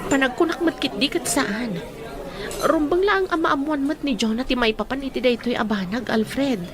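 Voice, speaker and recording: A concerned young Filipina, played by a woman in a radio drama, pressing and loyal. Her voice is fairly high, over clear chatter and clinking glasses. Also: animated, normal speed. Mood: concerned, probing.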